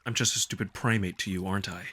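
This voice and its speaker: A man's voice: sits low, steady tone, fast talker, pushed and bright, slightly rough, voice pushed down, full character voice. A man playing a hurt, stubborn human in a visual novel drama, blunt and confrontational in his delivery. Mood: bitter.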